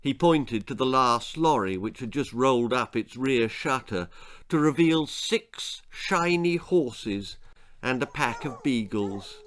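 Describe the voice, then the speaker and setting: A British man reads a children's tale as its author. His delivery is dramatic and takes its time, with pitch that rises and falls widely. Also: expressive, takes it slowly, a bit bright. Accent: British English. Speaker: author reading own stories.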